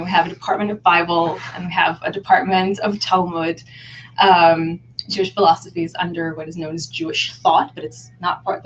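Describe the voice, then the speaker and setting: A woman, a guest scholar in an interview, speaking thoughtfully and pausing to find her words. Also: mid-range voice, slight background noise. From Israel. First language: Hebrew. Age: 30s. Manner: thoughtful, reflective, pauses to search for words. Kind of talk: casual interview. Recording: lo-fi online video call.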